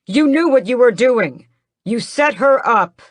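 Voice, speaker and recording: A woman voicing a defiant young male vampire prince who yells and growls accusations. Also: deep voice, moderate pace, no echo, clean studio sound. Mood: furious. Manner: forceful, yelling, growling threats.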